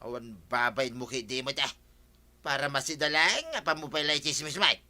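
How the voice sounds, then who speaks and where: An actor plays a gruff, selfish husband in an Ilocano village audio drama. His male voice is sharp and forceful at a middling pitch, with an Ilocano accent. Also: animated, brisk. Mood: gruff, dismissive.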